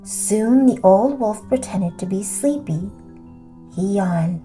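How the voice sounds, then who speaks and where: Female storyteller for children's read-aloud: mellow, unhurried, deliberate, soft music underneath. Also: mid-range voice, expressive. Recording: music behind the voice.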